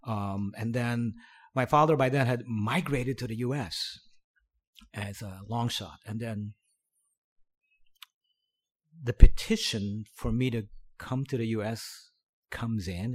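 A middle-aged man from the Philippines, a podcast guest recounting his past in a relaxed, halting way with many fillers and restarts. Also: on the low side. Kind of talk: conversational storytelling.

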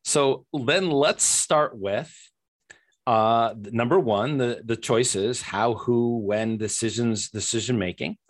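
A man's voice: medium pitch, slow. A man in his fifties interviewing a guest, friendly and a little hesitant, on a remote audio call. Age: 50s. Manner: hesitant, friendly, laughs easily. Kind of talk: conversational interview.

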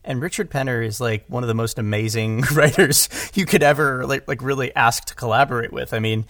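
A man chatting casually about creative work, with animated, self-deprecating asides. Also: mid-range voice, fairly quick, no echo, studio quality. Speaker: podcast guest, audio drama writer and director. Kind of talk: casual conversational discussion.

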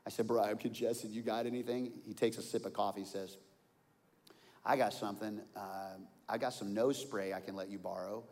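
A pastor in his forties preaching a sermon, telling stories and swinging from quiet asides to loud outbursts. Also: fairly low pitch, fairly even intonation, normal speed. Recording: long hall reverb.

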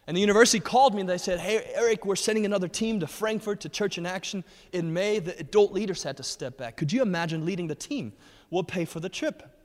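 A man's voice: high voice, fast. A man in his thirties from Germany, a guest speaker giving an earnest personal testimony at a church, with frequent fillers and restarts. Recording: long hall reverb.

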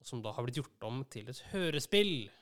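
A man hosting a casual podcast, often pausing mid-sentence as he talks. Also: mid-range voice, sing-song, fairly bright tone. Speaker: podcast host. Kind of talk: casual conversational podcast chat.

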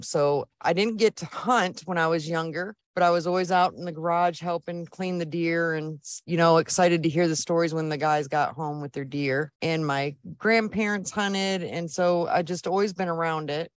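A middle-aged woman from northern Michigan, a guest on a podcast, telling her story in a relaxed, chatty way. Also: on the low side, no echo. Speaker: guest, outdoor adventure business owner. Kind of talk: casual interview.

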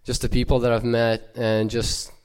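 A young man from Ukraine with no accent, giving a halting, earnest personal church testimony full of fillers and restarts. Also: medium pitch, monotone, darkish tone, clean tone.

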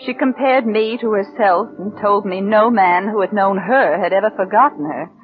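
Actress as a bitter, downtrodden niece on vintage radio. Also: female voice, medium pitch, moderate pace, lightly acted. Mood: bitter, brooding. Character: bitter, downtrodden orphan niece, confessing her story. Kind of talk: 1940s radio acting, first-person narration. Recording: narrow-band vintage radio recording with music.

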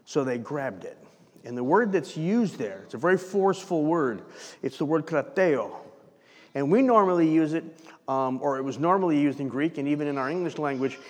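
Animated pastor preaching in a reverberant hall. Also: male voice, fairly high pitch, normal speed. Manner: animated preaching with rhetorical questions. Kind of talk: sermon. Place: church sanctuary.

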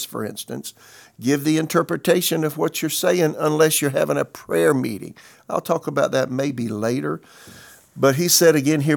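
A man's voice: mid-range voice, gravelly. A man in his sixties, a pastor, teaching a sermon in an earnest, conversational way and quoting scripture. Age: 60s.

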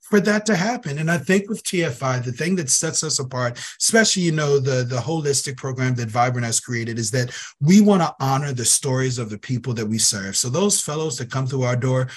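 A man's voice: medium pitch, a little fast, hardly any pauses. A man speaking as an interview guest, earnest and emphatic, repeating phrases to drive his point home. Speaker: guest, youth program director. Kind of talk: conversational interview.

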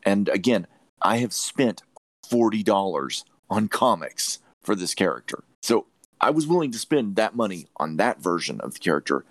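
A man's voice: fairly low pitch, very animated, moderate pace, a bit bright, no room sound, studio quality. A man hosting a podcast, giving opinions in an emphatic, declarative way in casual discussion. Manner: emphatic, declarative, repeats phrases for weight. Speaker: podcast host.

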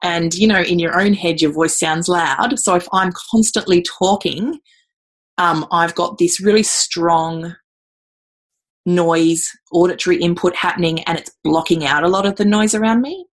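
A middle-aged woman with a fairly low voice, talking her way through interview answers. She pauses on ums and repeats words as she thinks aloud. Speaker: guest.

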